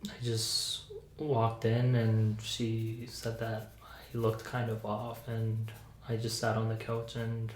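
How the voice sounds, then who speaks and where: A soft-spoken young adult playing a patient in an ASMR roleplay, giving hesitant, understated answers. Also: mid-range voice, completely flat delivery, takes it slowly. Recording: echoey room, faint hiss.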